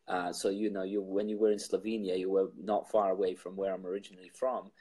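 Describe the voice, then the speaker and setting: A man from Bosnia hosting an interview in a relaxed, rambling way, with many fillers. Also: deep voice, fast, breathy edge. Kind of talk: casual interview chat.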